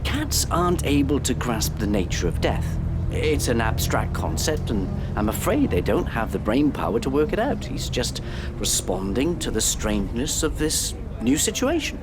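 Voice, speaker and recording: A man's voice: medium pitch, sing-song, moderate pace, over loud music, close to an everyday voice. A man acting in an audio play as a prim, bookish, easily exasperated character, pedantic and stuffy. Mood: patient, reassuring. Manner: pedantic, stuffy, hesitant when flustered.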